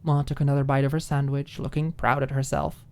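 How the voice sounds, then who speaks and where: A woman reading a scripted fiction audiobook in an expressive, ASMR storytelling style. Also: very deep voice, a little fast, clean tone. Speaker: audiobook narrator.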